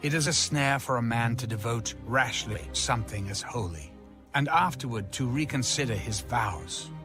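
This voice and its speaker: A man reading scripture aloud in a solemn, measured, declamatory style with music behind him. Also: mid-range voice, expressive, sharp tone. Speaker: Bible reader.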